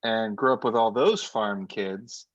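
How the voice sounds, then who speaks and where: A man's voice: fairly low pitch, unhurried, no room sound, lo-fi sound. Talkative man with a Northeastern accent. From United States. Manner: talkative, rambling storyteller. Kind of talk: casual chat. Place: home studio in South Jersey.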